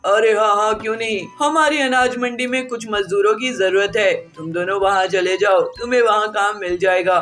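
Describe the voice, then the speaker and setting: A woman's voice: mid-range voice, normal speed, few pauses, a bit bright, faint music behind, natural voice. A woman voicing an agreeable, helpful male pigeon landlord in a children's cartoon story. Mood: obliging.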